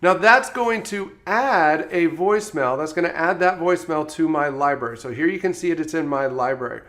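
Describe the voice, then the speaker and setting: A man presenting software training in a step-by-step instructional tutorial style, as a voice-over. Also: a little high, moderate pace. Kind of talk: scripted tutorial narration.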